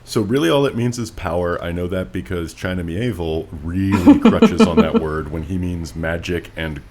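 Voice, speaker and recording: Animated, deep-leaning man, opinionated podcast talk. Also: normal speed, crowd noise. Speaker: podcast co-host. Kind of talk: casual book discussion. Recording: noticeable background noise.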